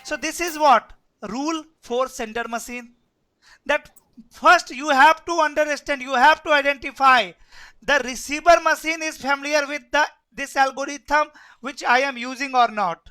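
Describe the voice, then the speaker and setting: Bright-voiced man teaching networking. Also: very high voice, normal speed. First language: Hindi. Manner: repetitive, keeps asking "yes or no?". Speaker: networking instructor. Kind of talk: live online class lecture.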